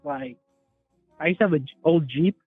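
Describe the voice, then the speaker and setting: Caller, young man in his twenties, higher-pitched, chatty and rambling, heard through a thin phone line. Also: normal speed, slightly rough, dry recording, soft background music. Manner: rambling, hedging with "like". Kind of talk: casual phone call.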